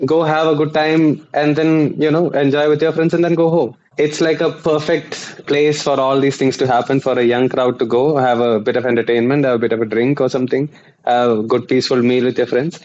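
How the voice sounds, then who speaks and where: Guest journalist: man, mid voice, measured explaining, muffled remote line. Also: medium pitch, flat delivery, darkish tone. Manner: explanatory, with many uh fillers and restarts. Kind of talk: conversational interview.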